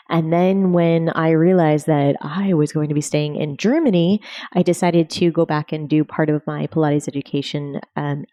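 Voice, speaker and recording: A woman in her forties hosting a movement-teaching podcast, talking in a lively, upbeat way. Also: low voice. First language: English. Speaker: podcast host. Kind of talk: casual podcast conversation.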